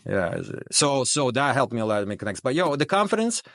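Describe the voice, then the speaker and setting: A man's voice: medium pitch, very animated, quick pace, fairly bright tone, dry recording. A man, originally from Russia, talking casually as a guest with lots of restarts and filler phrases. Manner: rambling, many restarts and "you know what I'm saying". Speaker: guest, documentary filmmaker. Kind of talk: casual interview talk.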